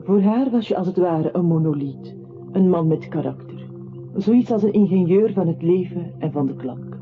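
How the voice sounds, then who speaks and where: A thin, narrow-band radio recording carries an observant chronicler. His fairly high voice is deliberate and matter-of-fact, articulating each thought over audible music. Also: lively intonation. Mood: explanatory. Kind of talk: literary radio play narration in second person. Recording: tinny band-limited radio drama with music.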